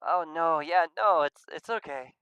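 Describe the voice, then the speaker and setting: A man's voice: fairly high pitch, normal speed, sharp tone, muffled recording, soft background music, pitched above the performer's own voice. A man voicing a young male bird, easygoing, teasing and a little sheepish, in casual banter from a visual novel. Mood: flustered, sheepish. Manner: casual, bantering, stumbles when flustered. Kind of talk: visual novel character read-aloud.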